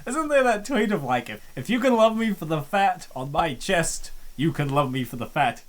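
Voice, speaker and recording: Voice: medium pitch, big pitch swings, moderate pace. A streamer giving casual, joking commentary over a visual novel, laughing and rambling. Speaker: game streamer. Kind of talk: casual let's-play commentary.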